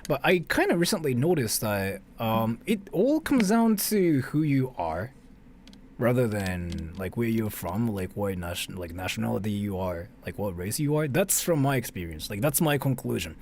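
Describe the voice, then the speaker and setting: Man, livestream host, animated pitch, thinking aloud, blunt, some noise behind the voice. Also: moderate pace. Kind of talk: casual livestream reaction chat.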